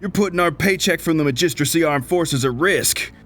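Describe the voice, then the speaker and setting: A man playing a grizzled middle-aged mercenary major, gruff, profane and folksy in a sci-fi radio play. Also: a little high, fairly quick, a bit bright, voice pushed up, close to an everyday voice. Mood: angry, incredulous.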